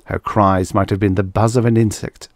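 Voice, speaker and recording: A man reading literary science fiction as an audiobook narrator, with measured, expressive delivery and deliberate phrasing. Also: fairly low pitch, no room sound, studio quality.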